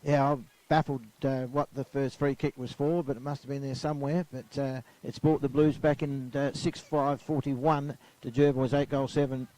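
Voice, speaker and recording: A man's voice: medium pitch, level tone, moderate pace, hardly any pauses, darkish tone, no echo, rough recording. An Australian man giving casual, rambling analysis as a co-commentator at a local football match. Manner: chatty, rambling, many fillers. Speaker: expert co-commentator.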